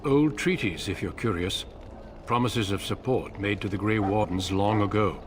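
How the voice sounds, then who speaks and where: A man as a grave, low-voiced commander. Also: slight background noise, close to an everyday voice. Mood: grave, instructive. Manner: measured, authoritative. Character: grave, commanding male leader of warrior order. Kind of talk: video game dialogue acting. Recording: game music behind the voice.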